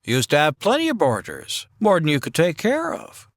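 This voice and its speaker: A man's voice: on the high side, wildly swooping pitch, moderate pace, dry recording, studio quality. A man playing an easygoing, polite cowboy drifter in a comedy audio drama, with a drawling aw-shucks manner.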